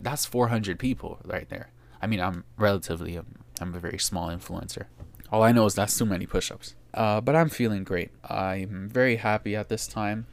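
A young man with a fairly low voice talks through his week in a solo podcast. He muses and questions himself as he goes, in a steady delivery others have called monotone. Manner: reflective, self-questioning, told his voice is monotone. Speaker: podcast host and small YouTuber.

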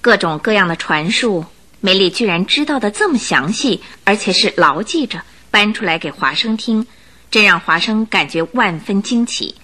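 A woman narrating a radio drama in an expressive, measured storytelling manner. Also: medium pitch. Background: music.

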